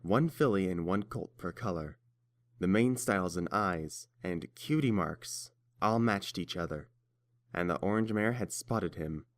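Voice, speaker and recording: Male narrator for scripted fiction, slightly low voice, storybook reading. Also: a little slow.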